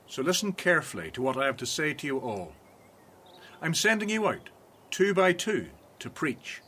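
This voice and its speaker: Jesus sending out his disciples, speaking with solemn, measured authority in a radio play. His voice sits fairly high, with a bright, forceful edge and lively shifts in pitch. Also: slight background noise. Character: Jesus, solemn, commanding male teacher. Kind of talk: radio drama acting.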